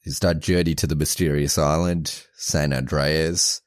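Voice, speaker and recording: A man with an extremely low, deep voice chats casually on a podcast, stumbling through a plot summary with constant restarts and self-corrections. The sound is dry and close, with no room around it. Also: fairly even intonation, measured pace, dry recording. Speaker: podcast co-host. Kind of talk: casual chat, retelling a movie plot.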